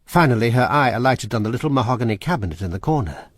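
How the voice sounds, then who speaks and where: A man narrating a radio drama in a measured, suspenseful way. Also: medium pitch, expressive, no echo, clean studio sound.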